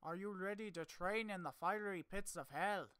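A man voicing a deadpan, ominous martial arts master in an amateur anime parody dub. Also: sits high, normal speed, clear, resonant tone, dry recording.

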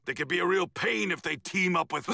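A man playing an arrogant, cold alien villain prince in an anime game, with a raspy voice and a commanding manner. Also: high-pitched, animated, fairly quick, bright, forceful tone, no echo, clean studio sound, heavily acted. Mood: impatient, scheming. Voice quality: raspy, gravelly. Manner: haughty, commanding. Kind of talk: English anime game dub acting.

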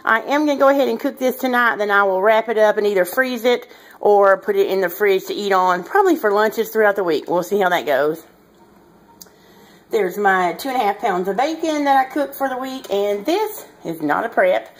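A woman's voice: medium pitch, normal speed. A woman in her thirties chats casually and ramblingly to her viewers as a home cooking vlogger, recorded indoors on a handheld device. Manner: chatty, rambling, addressing viewers informally. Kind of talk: casual vlog chat to viewers. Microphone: handheld camera or phone at arm's length.

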